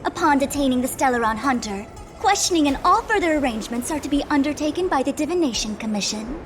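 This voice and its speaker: Pompous master diviner, high pitch, formal archaic manner, game cutscene, clear music underneath. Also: female voice, expressive, quick pace. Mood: stiff, authoritative. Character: haughty, formal young female master diviner. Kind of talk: scripted video game cutscene acting.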